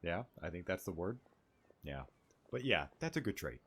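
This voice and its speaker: Low-voiced man, casual gaming commentary. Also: big pitch swings, normal speed, a bit bright, slightly rough. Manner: joking, informal. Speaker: gaming streamer. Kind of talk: casual let's play commentary.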